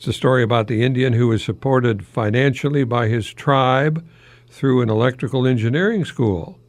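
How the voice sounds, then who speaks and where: An older American man hosting a folksy solo radio talk in a halting, rambling, easily amused manner. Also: medium pitch, no echo. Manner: chuckles often at his own remarks, halting and rambling. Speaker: radio host, longtime English professor.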